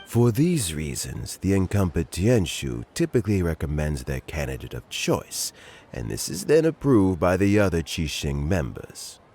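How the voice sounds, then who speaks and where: A courteous old statesman speaks in a very deep, gravelly voice that rises and falls expressively. He talks slowly and formally with gentle warmth, and soft game music plays behind him. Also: big pitch swings, a little hiss or hum. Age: older. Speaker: game character.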